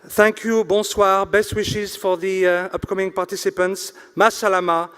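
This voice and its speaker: A man's voice: high voice, level tone, normal speed, a bit bright, clear, resonant tone. A man hosting a formal event, introducing and thanking speakers with effusive, ornate courtesy. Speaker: master of ceremonies.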